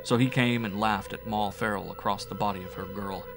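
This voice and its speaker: Male audiobook storyteller, fairly low pitch, dramatic and brooding scripted horror delivery over music. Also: steady tone, brisk, vocal fry. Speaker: audiobook narrator.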